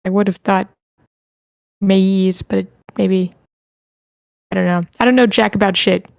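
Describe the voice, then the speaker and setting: Woman, mid-pitched, informal online meeting host who mutters asides and reads out comments. Very dry sound. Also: fairly even intonation, unhurried, creaky, a little mellow, no echo. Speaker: host moderating a script feedback session. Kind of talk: casual meeting moderation, reading chat messages aloud.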